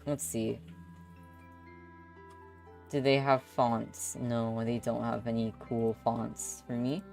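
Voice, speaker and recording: A woman livestreaming visual novel readings, chatting casually in an excitable, exclamatory way. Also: rumbling low, slow pace, creaky, faint music behind. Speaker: streamer.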